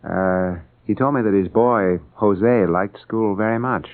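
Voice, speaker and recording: Low-voiced mild American dad. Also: male voice, measured pace. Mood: gentle, thoughtful. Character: mild, earnest, thoughtful middle-aged American father. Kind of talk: old-time radio drama acting. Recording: tinny, band-limited old radio broadcast.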